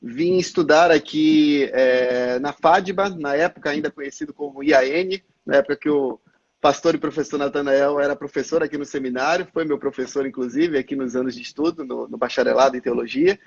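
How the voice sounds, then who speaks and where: Husky high-voiced man, muffled. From Brazil, Salvador. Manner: measured, explanatory, frequent "é" fillers. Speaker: missionary pastor guest. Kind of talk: live-stream interview answers.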